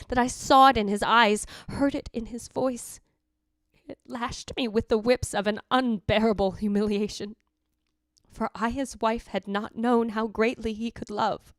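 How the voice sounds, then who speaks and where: A woman's voice: mid-range voice, dry recording, clean studio sound, lightly acted. A woman playing the jealous ghost of a dead wife, narrating in a theatrical, literary, anguished way in old-time radio style.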